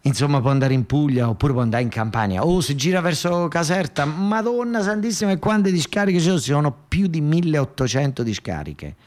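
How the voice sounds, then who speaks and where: A man's voice: mid-range voice, darkish tone. A man with a southern Italian accent performing a colloquial, satirical storytelling monologue, earthy and sardonic, with emphatic repetition and asides to his listeners.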